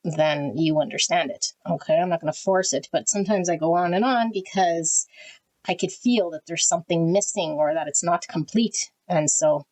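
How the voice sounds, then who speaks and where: A woman giving a tarot reading to her viewers, talking frankly and often tagging "okay?" onto her sentences, with her voice swinging high and low. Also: moderate pace, a little mellow, rough recording. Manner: pitch swings high and low as she talks. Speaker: tarot reader. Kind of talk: casual direct-to-camera tarot reading.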